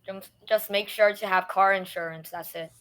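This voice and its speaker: A speaker from Taiwan practicing English on a video call, hesitant and full of fillers. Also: male voice, high voice, steady tone, moderate pace, sharp tone, smooth. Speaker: English learner. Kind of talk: casual conversation.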